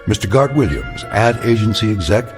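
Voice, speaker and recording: A male narrator voiced by an actor delivers scripted radio drama. His voice is low, yet moves dramatically up and down. He is deliberate, grave and ominous, with music clearly underneath. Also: lively intonation, close to an everyday voice. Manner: deliberate, portentous.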